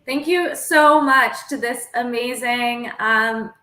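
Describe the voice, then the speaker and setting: A woman with a bright, tense, fairly high voice, moderating a virtual panel. She sums up each speaker briefly, with plenty of fillers, and the echoey room rings around her. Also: slow pace.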